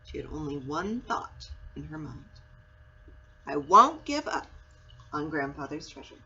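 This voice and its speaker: A woman reading a children's storybook aloud in an expressive, dramatic and deliberate way. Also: sits low, husky. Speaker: story reader, school read-aloud. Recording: dull lo-fi sound with faint noise.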